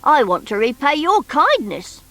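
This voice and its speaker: A woman as a high, pleading little monkey-rabbit creature. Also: big pitch swings, measured pace, sharp tone, no echo, heavily acted. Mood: eager, grateful. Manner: pleading, effusively grateful. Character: bunkie, small pleading half-monkey half-rabbit runaway, eager to please.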